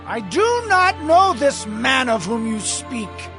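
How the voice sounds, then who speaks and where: An agitated disciple in a biblical audio drama, his voice pushed very high. He stretches his words slowly and defensively, over soft music. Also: sing-song, exaggerated voice. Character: Peter, an agitated fisherman disciple denying accusations.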